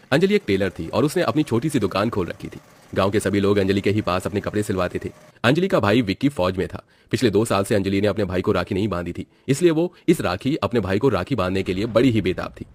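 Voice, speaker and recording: A male narrator reads a scripted horror story. His voice is fairly low and moves quickly. The sound is dry and close. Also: expressive, no room sound.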